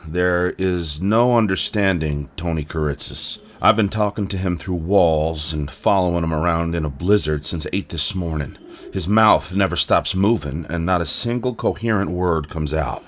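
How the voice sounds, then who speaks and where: A man's voice: moderate pace, few pauses, close to an everyday voice. A man playing a weary, plain-spoken middle-aged police detective in an audio drama. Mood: exasperated. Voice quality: deep voice. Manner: plain-spoken, blunt, emphatic. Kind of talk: naturalistic audio drama acting.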